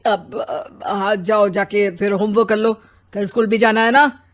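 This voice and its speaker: A woman's voice: mid-range voice, steady tone, unhurried, natural voice. A woman voicing an irritable, tired office-worker father in a cartoon family story, grumbling and exasperated. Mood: distracted.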